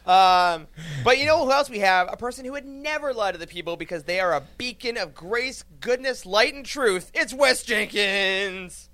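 A man hosts a podcast as casual conversation, joking and effusive. His pitch moves up and down in a very lively way. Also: moderate pace. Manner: jokey, effusive. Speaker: podcast host. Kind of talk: casual upbeat chat.

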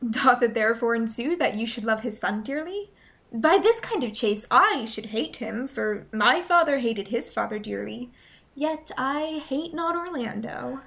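Woman doing a loyal young noble girl, high pitched, earnest, old shakespeare radio play talk. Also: lively intonation, unhurried, vocal fry, fairly bright tone, exaggerated voice. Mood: teasing concern. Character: devoted, spirited young noblewoman, loyal loving cousin.

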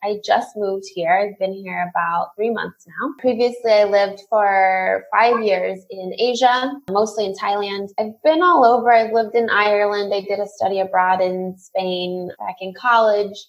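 A woman's voice: mid-range voice, a little slow, few pauses, highs cut off. A woman, chatting casually as a podcast guest. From California, USA. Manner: relaxed, chatty, many fillers. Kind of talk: casual chat.

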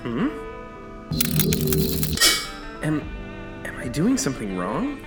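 A man's voice: mid-range voice, wildly swooping pitch, moderate pace. A man playing an uneasy, formal novice accomplice in an audio drama, with hesitant and stiffly proper phrasing. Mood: unsure. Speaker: voice actor in a fiction podcast. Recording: distant, echoey, music underneath.

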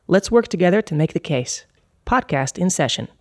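A woman's voice: lowish voice, very animated, moderate pace, heavy background noise. A woman hosting a podcast, with a polished, articulate delivery. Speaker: podcast host. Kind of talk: scripted podcast intro and moderating.

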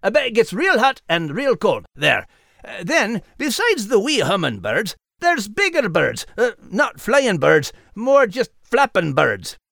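Gravelly, plucky Scottish dog. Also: male voice, high-pitched, huge pitch swings, measured pace, fairly bright tone, no room sound, clean studio sound, pitched above the performer's own voice, full character voice. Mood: jaunty, teasing. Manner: folksy, blunt. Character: plucky, plain-spoken, kindly Scottish male dog. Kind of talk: audiobook character acting.

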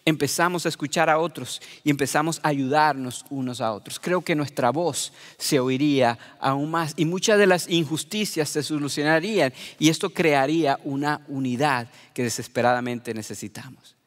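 Earnest Venezuelan-born preacher, fairly high voice. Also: male voice, moderate pace, in a large hall. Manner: earnest, addresses listeners as beloved. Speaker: pastor. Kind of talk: sermon.

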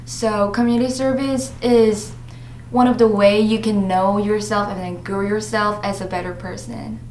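Teen girl, careful halting english, roomy echo. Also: medium pitch, fairly even intonation, darkish tone. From South Korea. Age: teens. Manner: earnest, slightly halting English. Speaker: student guest. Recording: echoey room, faint noise.

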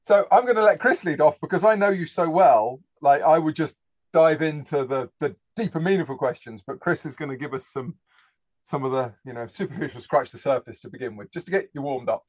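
A man's voice: fairly high pitch, brisk. A man co-hosting a podcast, introducing guests in a jokey, enthusiastic way. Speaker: podcast co-host. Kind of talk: casual podcast chat.

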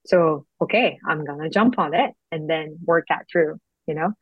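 A young woman from South Korea talks as a guest in an interview, reflective and thoughtful, pausing on fillers. Her voice sits a little low. The recording is dry and somewhat muffled. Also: husky, no echo. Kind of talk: interview conversation.

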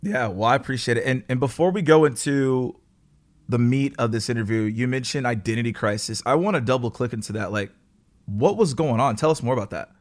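A man's voice: medium pitch, brisk. A man hosting an interview in a conversational style, introducing his guest and putting questions to him. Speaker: interviewer, podcast host.

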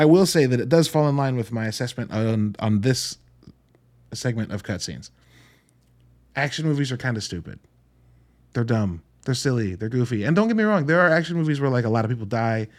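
A man hosting a podcast, giving a casual, conversational movie review with rambling, animated asides and casual swearing. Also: lowish voice.

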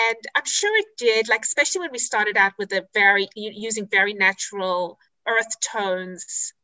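South african lady chatting on a podcast, bright lively tone, lots of ums. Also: medium pitch, rough recording. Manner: chatty and candid, with frequent "um" pauses and self-corrections. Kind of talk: podcast interview.

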